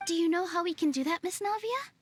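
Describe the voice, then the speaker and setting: A woman playing a gentle, polite, thoughtful young woman in a video game, soft-spoken in manner. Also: very high-pitched, animated, fast. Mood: polite, curious. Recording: game music behind the voice.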